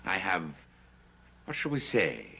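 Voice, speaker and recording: An actor playing a sly, scheming middle-aged warlock in a 1960s soap opera, with a smooth, insinuating manner. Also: male voice, low-pitched, huge pitch swings, slow, sharp tone, exaggerated voice. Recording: old TV soundtrack with music. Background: music.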